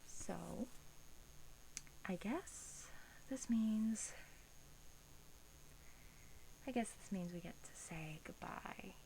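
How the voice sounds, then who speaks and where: A woman playing a sweetly menacing, teasing vampire in a soft, breathy ASMR roleplay, often dropping into a whisper, coaxing and condescending. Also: medium pitch, expressive, takes it slowly, low-quality recording. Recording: faint hiss.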